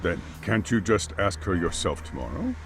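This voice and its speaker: A man's voice: deep voice, sing-song, moderate pace, slight background noise. An actor playing a wary, proper chairman in an English game dub, hesitant, cautious and defensive. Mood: reluctant. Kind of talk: dramatic game cutscene dialogue.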